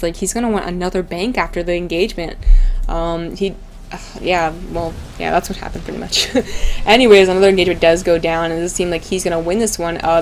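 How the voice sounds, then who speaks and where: Girl casting an esports match, chatty n excitable, slangy, voice kinda worn out, medium pitch, bit of background noise. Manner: gushing, excitable, slangy and informal. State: voice tiring, starting to go. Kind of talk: live video game commentary, casual and chatty.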